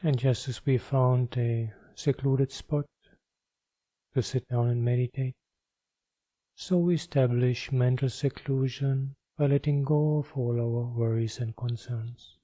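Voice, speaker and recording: A man leading a guided meditation as a teacher, speaking in measured, deliberate phrases of gentle instruction. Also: medium pitch, steady tone, no room sound, poor audio.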